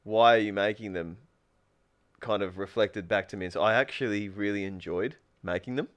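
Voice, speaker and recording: A low-pitched man talks through his thoughts on a casual podcast. He wanders and circles back, often restarting a sentence halfway through. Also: normal speed. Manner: rambling, thinks aloud, restarts often. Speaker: podcast guest, spearfisher and food truck owner.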